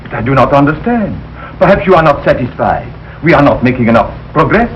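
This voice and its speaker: An actor playing a suave, fussy French professor with a put-on French accent and florid manners, in old sitcom style. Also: male voice, mid-range voice, big pitch swings, brisk, natural voice. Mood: courteous. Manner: florid, courteous, easily affronted. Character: suave, fussy phony French professor, male language tutor. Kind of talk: 1940s-50s broadcast sitcom acting. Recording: muffled, noisy old broadcast recording.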